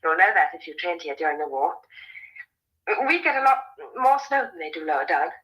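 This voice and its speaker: An actress playing a kindly young farmer's daughter in a radio drama, solicitous and a little flustered. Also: female voice, low-pitched, big pitch swings, fairly quick, highs cut off.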